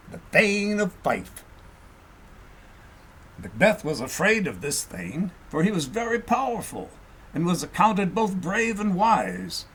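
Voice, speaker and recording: A man reading a historical tale aloud as an audiobook narrator, in a measured, expressive storytelling manner. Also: medium pitch, slight background noise, low-quality recording.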